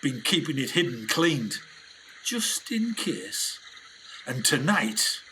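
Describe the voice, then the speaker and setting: Guy doing a grim jungle scientist for radio drama, rough diction, really forceful, slow, insects and faint hiss behind. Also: medium pitch, sing-song, heavily acted. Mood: grim resolve. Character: grim, determined male scientist on a jungle expedition. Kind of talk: radio play acting.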